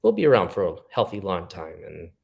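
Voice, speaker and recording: A man's voice: deep voice, huge pitch swings, fairly quick, gravelly, a little mellow, slightly rough. A man speaking as a podcast guest in casual chat, earnest and reflective with an optimistic tone.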